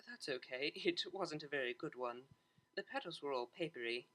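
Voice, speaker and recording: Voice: medium pitch, animated, moderate pace, a bit bright, natural voice, low-quality recording. A narrator voicing a refined young mare cellist in a story, prim and proper, polite and a little hesitant.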